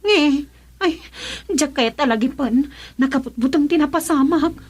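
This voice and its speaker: High-pitched Ilocano village woman played by an actress. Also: measured pace. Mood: chatty. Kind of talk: Ilocano radio drama comedy acting.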